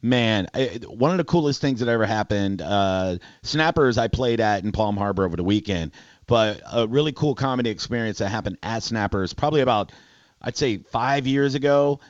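Animated man in his forties hosting radio. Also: lowish voice, moderate pace, no echo, muffled recording. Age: 40s. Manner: crude, teasing, excitable. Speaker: radio show host. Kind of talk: casual comedic banter with callers.

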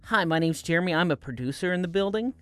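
Man deadpanning fake show pitches. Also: animated, fast talker, a bit bright, clear, resonant tone, no echo. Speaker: radio producer. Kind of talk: radio show segment, telling a prank story.

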